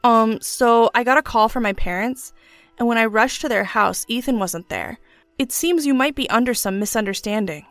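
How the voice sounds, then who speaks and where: Woman putting on a flustered, pleading husband, pitched up. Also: normal speed, dry recording, soft background music, lightly acted. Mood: panicked, pleading. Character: panicked cheating husband, adult man, making excuses. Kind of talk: audio story dialogue.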